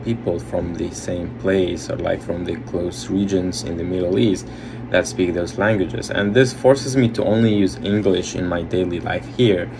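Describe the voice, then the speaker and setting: A young man from Iraq talks as an international student. His voice sits fairly low and his delivery is thoughtful, with small hesitations. Steady background noise runs under the whole recording. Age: young adult. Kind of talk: interview testimony. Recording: noisy background.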